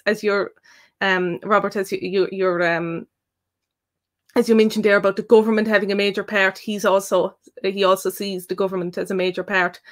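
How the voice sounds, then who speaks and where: A woman with an Irish accent, co-hosting a live Q&A on learning Irish, who talks in a thoughtful, rambling way with many fillers. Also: medium pitch. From Ireland. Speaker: Irish language tutor and co-host. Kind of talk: live stream Q&A.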